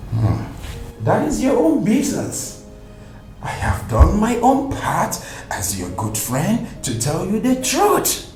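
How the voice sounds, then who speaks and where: A man's voice: sits high, huge pitch swings, slow, a little mellow, echoey room, faint music behind, heavily acted, poor audio. An exasperated, blunt Nigerian friend in a film drama, scolding and emphatic as he gives a warning. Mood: resigned, scolding.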